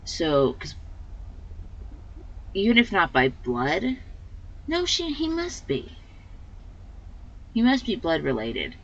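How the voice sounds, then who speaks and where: A woman with a slightly hoarse voice hosting a let's play, giving casual commentary and thinking aloud as she plays. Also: mid-range voice, wildly swooping pitch, takes it slowly, long pauses, highs cut off. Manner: rambling, thinking aloud with fillers.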